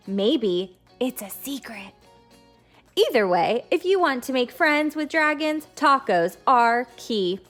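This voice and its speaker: A woman hosting a read-aloud lesson for young children, speaking to them directly in simple words, as a teacher reading a picture book. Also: fairly high pitch. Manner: addresses children directly with simple explanations and questions.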